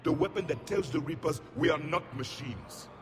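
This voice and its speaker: An actor playing a proud ancient alien soldier in a video game, grave, measured and scornful. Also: male voice, fairly high pitch, expressive, big-room sound, noisy background, natural voice. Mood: rousing. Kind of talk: video game cutscene dialogue.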